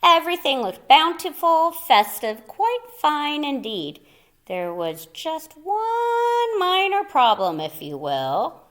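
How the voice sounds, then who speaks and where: A woman storyteller with a high voice reads a picture book to young listeners. Her delivery is theatrical and playful, swinging widely in pitch. She takes her time, with slow phrasing and dramatic pauses. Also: wildly swooping pitch, fairly bright tone. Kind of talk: expressive children's picture book read-aloud with asides to listeners.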